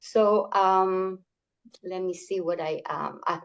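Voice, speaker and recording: An older woman from Chile, whose first language is Spanish, gives a community workshop talk over an online call, speaking haltingly with many fillers and restarts. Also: lowish voice, steady tone, smooth, muffled recording.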